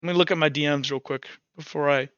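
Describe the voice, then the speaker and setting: Bright, crisp, higher-voiced man running a game. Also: steady tone, a little fast, dry recording, muffled recording. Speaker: game master. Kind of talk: casual tabletop game narration and rules talk. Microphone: headset.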